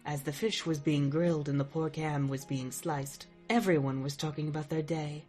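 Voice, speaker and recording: Woman narrating in a low voice. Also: steady tone, normal speed, over music. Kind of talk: scripted narration.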